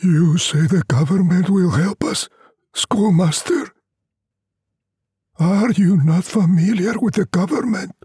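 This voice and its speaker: A man reading a story, voicing stubborn, fatalistic poor villagers in a plaintive, slow, resigned manner. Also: high-pitched, warm tone, husky, no echo, studio quality, voice pushed up, heavily acted. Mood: wry, challenging.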